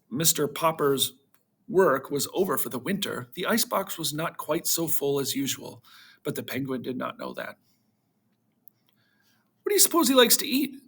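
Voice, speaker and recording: A man reading a children's storybook aloud to schoolchildren in an animated narrating style. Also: mid-range voice, vocal fry, breathy edge.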